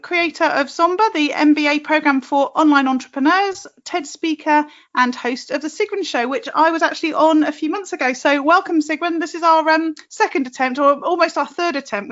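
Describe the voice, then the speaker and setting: A woman with a high voice hosts a conversational podcast interview. She is chatty and affirming with her guest, and the recording is slightly dull and lo-fi. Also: fairly quick, hardly any pauses, a bit bright.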